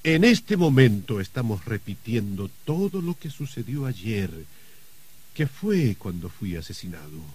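An actor playing a mocking, menacing middle-aged uncle in a melodramatic radio drama, husky and theatrical, with halting, sardonic delivery. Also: male voice, lowish voice, big pitch swings, a little mellow, heavily acted. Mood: mocking, ominous. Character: gleeful, mocking, menacing uncle, a murdered novelist host.

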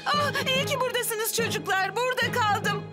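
A woman playing an earnest, capable young female firefighter in an animated series, with expressive delivery. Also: very high voice, normal speed. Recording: loud music under the dialogue.

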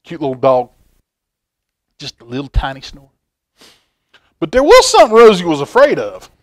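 A middle-aged man reading a picture book aloud to children in a folksy, expressive way, with chatty asides. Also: fairly high pitch, normal speed. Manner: folksy, expressive, asking the listener questions. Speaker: library storytime reader. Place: indoor library children's room.

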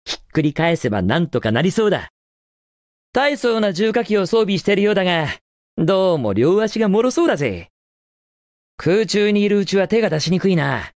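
A man's voice: sits high, dry recording, studio quality. An actor playing a cocky, confident young male hedgehog hero in a Japanese video game, with a cool, casual delivery.

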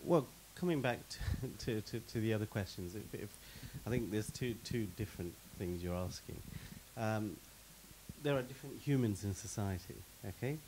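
Panelist, middle-aged man, low voice, handheld mic, faint hiss, expansive and list-heavy. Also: moderate pace. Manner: expansive, repeats words when starting, gives lists of examples. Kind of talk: panel discussion answer. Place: stage in a meeting hall with audience.

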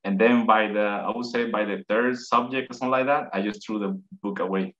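A man in his thirties from Puerto Rico with a Puerto Rican Spanish accent, a native Spanish speaker telling his story in a casual video call interview, halting and repeating words. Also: fairly low pitch, steady tone, fairly bright tone. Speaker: interview guest. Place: home room, indoors. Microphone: video call webcam. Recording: dull lo-fi video call audio.

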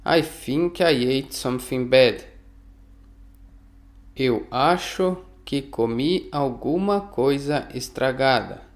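Very slow, deliberate man reading phrases. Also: medium pitch, lively intonation. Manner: reads each phrase slowly and repeats it. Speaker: language teacher. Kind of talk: slow phrase drill for learners.

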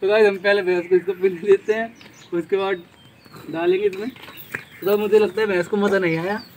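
A young man giving excited, repetitive casual vlog commentary to his viewers outdoors. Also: high voice, brisk. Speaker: vlogger. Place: open dirt farmyard, outdoors. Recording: noisy outdoor yard, birds and animals.

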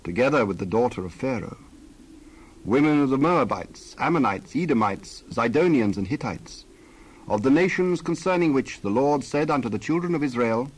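A man narrating scripture as a scripted dramatized reading, measured and solemn, with careful phrasing. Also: medium pitch, animated, breathy edge, poor audio.

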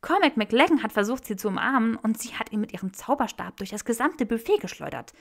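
A woman voicing a chatty, excitable young male rebel in a German audiobook reading of fan fiction. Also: on the high side, brisk, creaky, voice pushed up, full character voice. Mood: eager gossiping. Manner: excitable, blurting.

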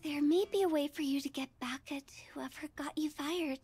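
A shy, soft-spoken young woman as a female reader acts her out from a visual novel. Her voice is very high and girlish, hesitant and secretive, with music plainly playing behind her. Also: fairly even intonation, normal speed, lightly acted. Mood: secretive, ominous. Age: young adult. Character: shy bespectacled young woman, timid but ominously insistent. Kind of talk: visual novel character reading. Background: background music.

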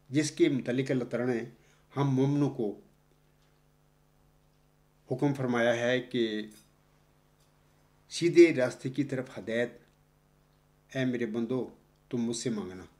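An older man giving a Friday sermon with a close mic, explaining scripture and chanting Arabic verses in long, drawn-out phrases. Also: medium pitch, darkish tone. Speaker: preacher. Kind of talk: Friday sermon with slow Quranic recitation. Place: indoors, seated before a backdrop screen. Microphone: close studio mic in front of the face.

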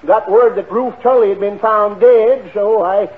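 An actor playing an eager, folksy country sheriff with rustic talk in a 1940s radio comedy. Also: male voice, sits high, a little slow. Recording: tinny 1940 radio broadcast.

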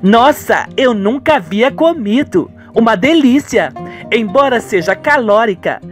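Radio soap role: tender young girlfriend, cooing and gushing in a high, lilting voice, played by a man. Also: animated, measured pace, faint music behind. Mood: delighted. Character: affectionate young woman in love, soap opera.

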